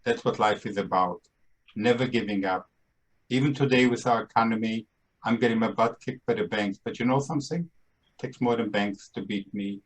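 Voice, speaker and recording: A man's voice: fairly low pitch, normal speed, husky, rough recording. A man in his seventies with a Brooklyn accent, hosting a podcast in a playful, emphatic, upbeat way. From Austria and Brooklyn, New York. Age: 70s. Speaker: podcast host. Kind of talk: casual podcast monologue.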